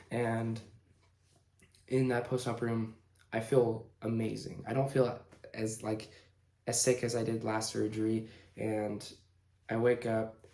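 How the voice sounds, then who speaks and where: A man in his late teens casually telling a personal story in a halting, informal way, pausing mid-sentence. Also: mid-range voice, a little mellow. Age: young adult, late teens. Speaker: vlogger telling own story. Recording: roomy, echoing indoor sound.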